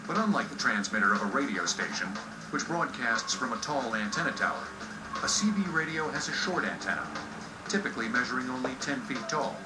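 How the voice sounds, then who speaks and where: A man's voice: mid-range voice, expressive, fairly quick. An American man reading scripted documentary narration with a playful, punchy delivery. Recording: roomy sound under loud music bed. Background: music.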